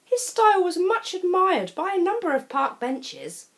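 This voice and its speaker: A woman narrates a children's picture book. Her pitch moves widely and her delivery is theatrical. She speaks straight to the young listener with chatty asides. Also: big pitch swings, measured pace, a bit bright. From England. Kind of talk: expressive children's storybook narration.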